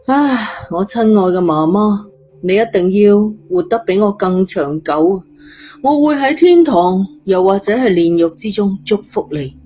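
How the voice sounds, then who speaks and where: A woman's voice: medium pitch, lively intonation, a little slow, mellow, slight background noise, close to an everyday voice. A woman voicing a brash, chatty, blunt teenage girl with a morbid streak, speaking colloquial Cantonese in an audio story. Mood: wistful. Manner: cheeky, blunt, colloquial, muttering to herself.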